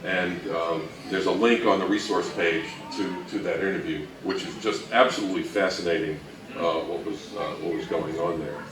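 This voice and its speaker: A man giving an informal history talk, halting and anecdotal, with dry humour. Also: sits low, steady tone, a crowd in the background. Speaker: co-presenter of a talk on old-time radio history. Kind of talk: informal lecture to a live audience. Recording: echoey room from far off, faint noise.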